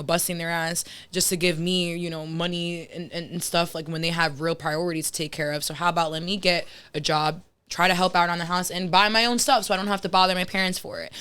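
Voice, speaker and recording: A woman's voice: lowish voice, fairly even intonation, a little fast, hardly any pauses, fairly bright tone, clear, resonant tone. A young woman hosting a casual podcast chat, reflective and frank, with lots of fillers and some swearing. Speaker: podcast host. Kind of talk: casual conversation.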